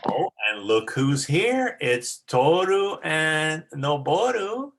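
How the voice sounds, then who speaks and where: A woman's voice: low-pitched, sing-song, slow. A woman teacher chatting casually as she hosts an online class on a video call, encouraging and often repeating back what others say.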